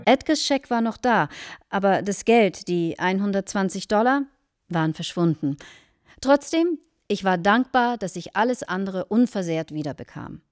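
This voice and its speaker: Radio drama: earnest, formal wife played by an actress, dramatic storyteller, very dry studio sound. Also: female voice, mid-range voice, expressive, moderate pace, no room sound, natural voice. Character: earnest married woman solemnly recounting a shocking anecdote to a guest. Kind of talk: German radio play acting.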